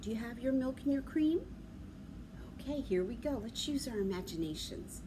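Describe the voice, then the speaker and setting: A middle-aged woman talking to young children as a library story time host, in an animated, sing-song way with simple questions. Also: a little high, normal speed. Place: large library room with big windows, indoors. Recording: clear background noise, room ambience.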